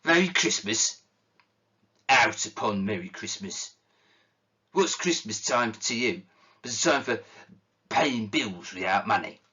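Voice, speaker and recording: Guy doing a grumpy old miser, deep voice, snappy and scornful, muffled old recording. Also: lively intonation, slow, long pauses, bright, forceful tone, breathy edge, heavily acted. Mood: indignant. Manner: curt, indignant and sneering. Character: stern miserly old man, gruff and scornful.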